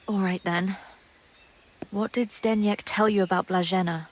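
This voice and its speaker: A woman's voice: mid-range voice, steady tone, measured pace, lightly acted. A woman playing a gossipy, guarded young monastery maid in a medieval video game drama, secretive and earthy in her talk. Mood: indignant, confiding. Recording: clear background ambient noise.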